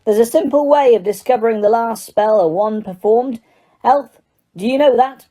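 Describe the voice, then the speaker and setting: A woman's voice: on the high side, moderate pace, mellow, voice pushed up, lightly acted. Woman playing a stern, barking male wizard official. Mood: hard, triumphant.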